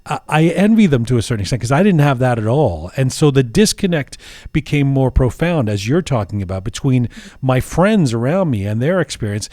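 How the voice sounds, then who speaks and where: A man's voice: medium pitch, very animated, a little fast, a little mellow, dry recording, clean studio sound. A man hosting an interview podcast, musing aloud with many restarts and false starts. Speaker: podcast host.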